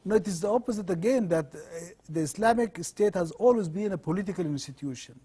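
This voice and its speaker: A middle-aged man from Sudan, a law professor answering interview questions in measured, earnest explanations. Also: high voice, mellow, husky.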